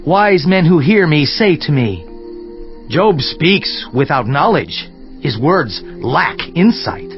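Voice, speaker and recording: An actor playing an earnest, indignant biblical man, declaiming in an oratorical dramatized scripture reading with rhetorical questions. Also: mid-range voice. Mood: stern, challenging. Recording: dull lo-fi audio with music. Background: background music.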